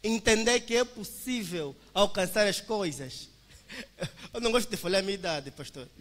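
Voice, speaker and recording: High bright Angolan man in church hall. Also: expressive, normal speed. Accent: Angolan Portuguese. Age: 20s. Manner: emphatic, preacher-like, addresses listeners as brothers. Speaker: young business owner giving a testimony. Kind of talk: motivational talk to a church congregation.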